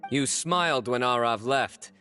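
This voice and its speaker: Stern, measured law enforcer. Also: male voice, medium pitch, sharp tone, soft background music. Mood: accusing. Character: stern, perceptive male law enforcer, coolly intimidating. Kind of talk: video game voice acting.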